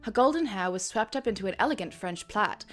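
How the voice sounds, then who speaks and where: A woman's voice: medium pitch, expressive, brisk. Female storyteller, dramatic but self-mocking, narrates amateur fiction and comments drily on it, light music underneath. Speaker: reader and host. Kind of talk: dramatic reading of own fan fiction with wry asides.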